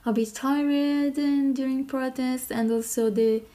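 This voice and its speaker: A woman from Italy with an Italian accent, talking as a podcast guest in halting, hesitant phrases. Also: sits high, steady tone, mellow. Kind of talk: informal interview talk.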